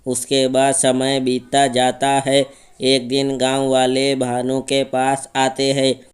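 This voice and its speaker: A man's voice: mid-range voice, monotone, takes it slowly, clean tone. A man narrating a scripted horror story as its storyteller.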